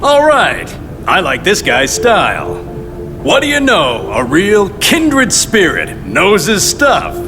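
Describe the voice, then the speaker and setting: An actor playing a glib, self-important crime boss in a video game cutscene, talking with pompous mock courtesy. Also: male voice, high voice, huge pitch swings, takes it slowly, exaggerated voice. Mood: amused, pleased. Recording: long reverb with loud music behind. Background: music.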